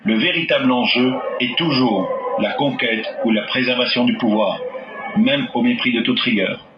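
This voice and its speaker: A man giving measured, formal documentary narration. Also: medium pitch, background noise. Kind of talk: scripted narration. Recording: dull roomy archive audio.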